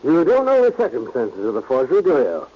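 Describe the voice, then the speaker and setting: An actor playing an indignant, defensive businessman, curt and self-righteous, with a husky voice in 1940s radio style. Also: medium pitch, wildly swooping pitch, quick pace, dark tone. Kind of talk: 1940s radio drama acting. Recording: muffled old radio recording.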